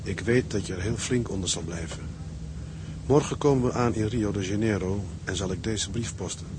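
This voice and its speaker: An actor playing a young ship's officer, a devoted and plain-spoken husband, in a radio drama. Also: male voice, fairly low pitch, normal speed, close to an everyday voice. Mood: tender, sober. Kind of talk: radio drama acting with letter narration. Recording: noisy drama track with ambient sound.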